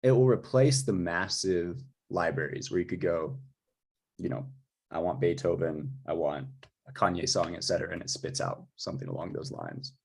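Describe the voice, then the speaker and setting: Guy with a deep husky voice, composer just chatting about work. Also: big pitch swings, normal speed, a little mellow. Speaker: music composer for audio fiction. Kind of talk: informal work chat.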